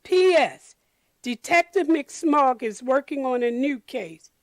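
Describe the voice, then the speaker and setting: Female storyteller for children's picture book read-aloud: high register, unhurried and expressive, dry sound. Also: no room sound. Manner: deliberate, addresses children and explains pictures.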